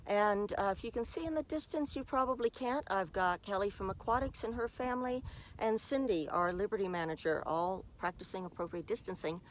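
This is a middle-aged American woman presenting informal safety advice outdoors. Her voice sits at an ordinary pitch and comes from some distance off the microphone. A faint layer of outdoor noise lies under it. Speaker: fitness department staffer presenting a dog hiking safety video. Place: outdoors in open desert by trails and a dirt parking lot.

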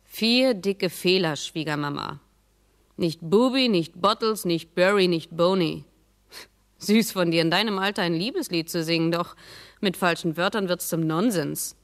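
Casting: needling younger family woman for radio drama, mock-sweet, lowish voice, pointed jibes. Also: unhurried. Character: sharp-tongued, mocking daughter-in-law.

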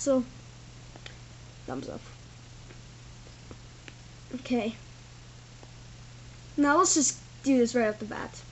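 A woman's voice: high voice, a little mellow, slight background noise, low-quality recording. A woman giving a casual, rambling toy review from an indoor room, filmed on a tripod camera. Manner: rambling, loose, full of "like" and "thing". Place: indoor room with carpeted floor. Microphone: camera on a tripod, built-in mic.

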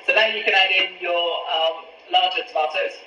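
A man's voice: sits high, fairly even intonation, moderate pace, bright, forceful tone, highs cut off. A man, a pasta supplier explaining each cooking step as a guest on a live stream from his kitchen. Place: home kitchen. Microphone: camera a few feet away on a video call. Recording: echoey kitchen sound from the call.

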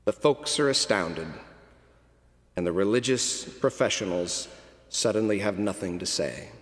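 Slow-spoken middle-aged preacher in a cathedral. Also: male voice, fairly low pitch, animated. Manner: slow, deliberate storytelling with dramatic pauses. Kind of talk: sermon. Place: large stone cathedral, at a pulpit. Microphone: pulpit microphone. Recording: long cathedral reverb.

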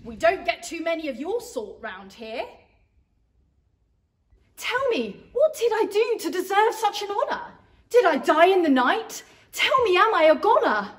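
A cheeky, easily startled young woman in a rhyming storybook, acted by a woman. Her high voice bounces up and down as she babbles in slangy rhymes. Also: sing-song, normal speed, few pauses. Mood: alarmed.

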